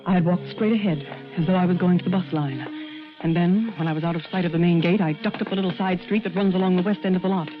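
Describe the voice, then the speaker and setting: An actress playing a tense woman who narrates her part in a murder plot, in deliberate 1940s radio suspense style. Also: lowish voice, natural voice. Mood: hushed, tense. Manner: dramatic, deliberate, suspenseful delivery. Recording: muffled vintage radio recording, loud music behind.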